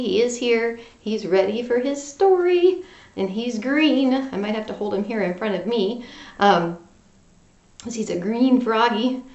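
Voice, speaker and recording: A woman teacher talking directly to young children in an animated, conversational read-aloud lesson style. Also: mid-range voice, lo-fi sound. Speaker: music teacher and storyteller for children. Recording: roomy, echoing home recording.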